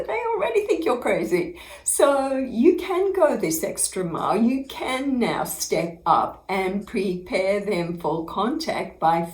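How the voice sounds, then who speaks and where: Slow, earnest woman in an echoey room. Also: on the high side. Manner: unhurried, earnest, laughs often. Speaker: spiritual teacher. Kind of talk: inspirational personal storytelling to camera.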